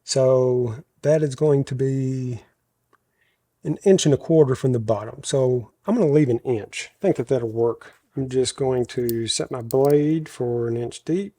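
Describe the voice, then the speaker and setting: Country guy, real deep mellow voice, bit of a cold, talking himself through a shop project. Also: a little slow. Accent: American country. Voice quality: naturally very deep voice. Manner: talks to himself while working, self-correcting. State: slight head cold. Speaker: host showing a woodworking project. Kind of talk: casual workshop tutorial, thinking aloud.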